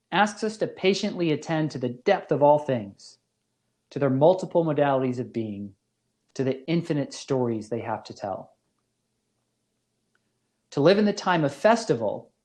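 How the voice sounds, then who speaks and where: A male lecturer with a medium-pitched voice reads a scripted academic talk. He phrases things formally and moves through each thought in a measured, deliberate way. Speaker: lecturer, professor.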